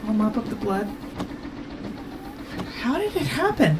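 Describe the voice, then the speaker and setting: A woman's voice: on the high side, very animated, unhurried, very noisy. A woman playing a practical, worried companion in an audio drama, questioning and no-nonsense in manner. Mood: firm, worried. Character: practical, anxious female companion of a time traveller.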